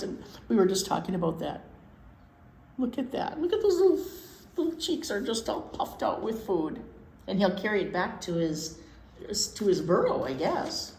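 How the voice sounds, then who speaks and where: Animated woman reading to children, echoey room. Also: medium pitch. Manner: folksy, informal asides with repetition for emphasis. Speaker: children's storytime presenter. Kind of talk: read-aloud with chatty explanations for young children. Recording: echoey room with background noise.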